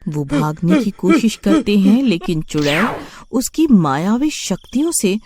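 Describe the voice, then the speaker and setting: A woman narrating a scripted story in an expressive storytelling manner. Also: medium pitch, measured pace, background noise.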